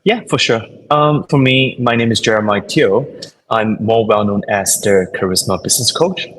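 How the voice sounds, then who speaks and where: Husky-voiced Singapore-raised man, low. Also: a bit bright, rough recording. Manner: thoughtful, explanatory, with fillers and non-native grammar. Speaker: podcast guest, business coach. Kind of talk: conversational interview answers.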